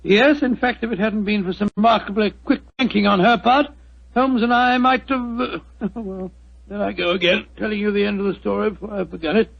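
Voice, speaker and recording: A blustering old doctor. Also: male voice, sits high, fairly even intonation, a little fast, gravelly, no echo. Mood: genial. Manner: blustery and stammering, chuckles often. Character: bumbling, genial older English doctor, fussy. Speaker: narrator of the tale within the play. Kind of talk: 1940s radio acting. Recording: dull 1940s radio recording.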